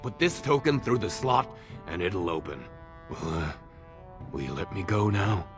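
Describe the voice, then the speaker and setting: A man voicing a timid, grateful dwarf captive in a video game, hesitant and halting, with a creaky voice. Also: sits low, lively intonation, music in the background. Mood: nervous. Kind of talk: video game character dialogue.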